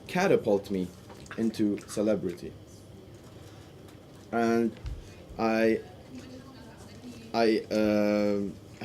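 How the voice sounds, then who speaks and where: A man interpreting a panel discussion live, in halting phrases with restarts and sudden bursts of speed. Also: lowish voice, mellow, a little hiss or hum. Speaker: simultaneous interpreter.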